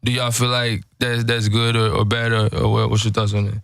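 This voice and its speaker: A man's voice: medium pitch, little pitch movement, fairly quick, gravelly, a bit bright, clean tone, dry recording. An American man hosting a podcast, posing questions to his co-hosts in casual, slangy chat.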